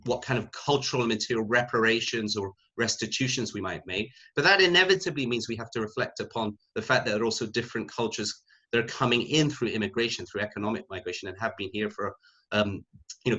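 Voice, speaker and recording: A man's voice: medium pitch, a bit bright, muffled recording. British male academic panelist, middle-aged. Unscripted, reflective delivery, feeling for words as he goes. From United Kingdom. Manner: reflective, halting, thinking aloud.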